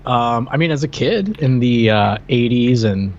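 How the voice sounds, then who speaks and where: Through slightly dull, lo-fi audio, a man of forty chats casually as a podcast co-host. His voice sits at an ordinary middle pitch. He talks hesitantly, with dry, teasing asides. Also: lively intonation, a little slow, darkish tone. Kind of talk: casual chat.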